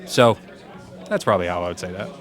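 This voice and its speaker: A young man with a loud voice, answering interview questions casually at a conference and laughing often. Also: deep voice, quick pace, soft background music, some chatter behind. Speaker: event program manager, interview guest. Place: conference venue.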